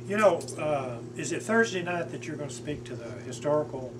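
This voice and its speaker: Seventy-ish guy agreeing along, a bit high, far from the mic with noise behind. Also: lively intonation, brisk. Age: 70s. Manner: agreeable, brief affirmations and questions. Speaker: porch conversation partner. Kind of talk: casual chat. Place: outdoors on a front porch. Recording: distant mic with background noise.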